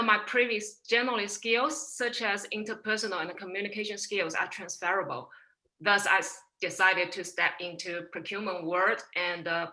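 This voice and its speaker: A woman from China with a Chinese accent, a webinar guest telling her career story in a formal, measured way over a video call. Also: medium pitch, bright, forceful tone, poor audio. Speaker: webinar guest, procurement professional. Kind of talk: prepared personal story.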